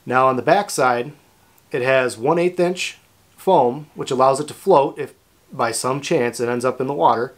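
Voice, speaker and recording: A man's voice: medium pitch, moderate pace. North American man giving a plain-spoken demonstration. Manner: plain-spoken, informal, explanatory. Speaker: host of a kayak fishing channel. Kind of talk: product demonstration to camera. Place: small indoor workshop room.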